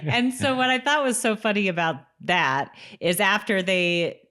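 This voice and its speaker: A woman's voice: medium pitch, a little slow, fairly bright tone. A woman co-hosting a podcast, chatting in an informal, amused way as she recaps a TV episode. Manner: amused, informal, mimics characters' lines mockingly. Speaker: podcast co-host. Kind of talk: casual recap chat about a TV episode, with some read-out summary.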